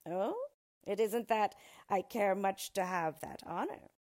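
Storybook dwarf , female reader: small malicious male, boastful and wheedling, husky, swooping delivery. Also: fairly high pitch, very animated, moderate pace, darkish tone, voice pushed up. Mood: angry. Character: malicious, boastful little male dwarf monster of fairy tale.

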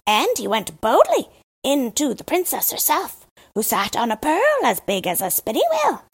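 A woman's voice: high voice, normal speed, breathy edge, voice pushed up, heavily acted. A woman voicing a chatty, boastful male crow in a storybook reading. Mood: gossiping. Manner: lively, chattering and eager to gossip.

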